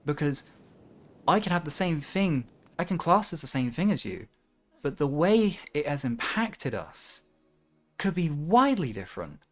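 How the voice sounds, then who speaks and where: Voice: medium pitch, big pitch swings, unhurried. Reflective livestream host with music behind. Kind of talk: casual chat and reflective monologue to a livestream audience.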